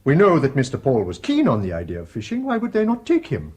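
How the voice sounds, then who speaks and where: A man's voice: medium pitch, huge pitch swings, a little mellow. A probing father. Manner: probing, measured questioning. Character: shrewd Scottish father, an inquiring man. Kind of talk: radio drama acting.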